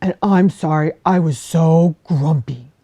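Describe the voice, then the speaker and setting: A woman voicing a big brown male bear, speaking loud and slow in a children's storybook reading. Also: low voice, slightly rough, no room sound, clean studio sound, exaggerated voice. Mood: gentle.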